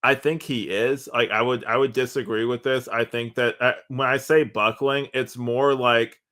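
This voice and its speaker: A man's voice: mid-range voice, fairly even intonation, normal speed, pushed and bright. A man co-hosting a podcast in casual discussion, talking in a rambling, conversational way with "like" dropped in often. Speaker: podcast co-host.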